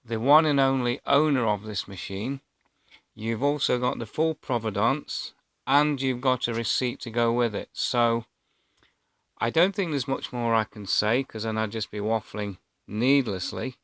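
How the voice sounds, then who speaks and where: Male vlogger, 50s. Medium pitch, close dry sound, rambling and unscripted, feels his way through sentences. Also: measured pace, a bit bright, no echo. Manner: hesitant, rambling. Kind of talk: casual personal storytelling to camera.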